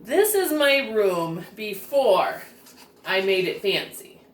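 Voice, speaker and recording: A woman's voice: mid-range voice, sing-song, slow pace. A woman reading a picture book aloud expressively, enunciating with care for young children. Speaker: children's story reader. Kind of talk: expressive storybook read-aloud. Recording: roomy echo, distant microphone.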